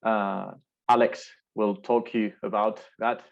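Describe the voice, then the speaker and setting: A man's voice: lowish voice, flat delivery, slow pace, creaky. A young man from Spain with a Spanish accent, giving an earnest online talk and often repeating words as he searches for them. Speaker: alumni speaker. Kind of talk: virtual presentation. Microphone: video call. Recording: dull lo-fi video call audio.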